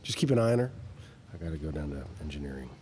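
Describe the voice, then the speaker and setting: A middle-aged security chief and soft-hearted father in an audio drama. His voice sits low and moves a good deal in pitch, with hesitant, stammering phrasing. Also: very animated, very fast, slight background noise. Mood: gentle, resigned. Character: harried, indulgent father and company security chief. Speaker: head of security. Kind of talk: naturalistic audio drama acting.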